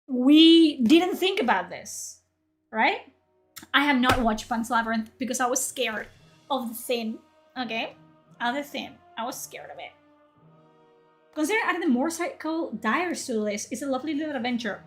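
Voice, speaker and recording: Young woman from Spain, native Spanish speaker, loose chatty streamer, slightly high voice, faint music bed. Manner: chatty, rambling, reads chat aloud. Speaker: livestream host. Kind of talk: casual livestream chat.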